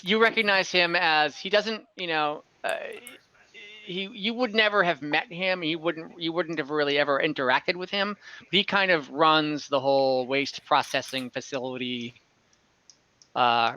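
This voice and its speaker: A man's voice: fairly high pitch, pushed and bright. A man narrating as game master, describing a scene casually with many fillers and self-corrections. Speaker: dungeon master. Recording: slightly dull lo-fi online audio.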